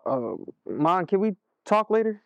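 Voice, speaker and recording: A man's voice: sits high, sing-song, unhurried, creaky, fairly bright tone, no room sound, exaggerated voice. A man with an American accent playing a concerned, easygoing older brother in a stage play, speaking casually. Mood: casual, caring.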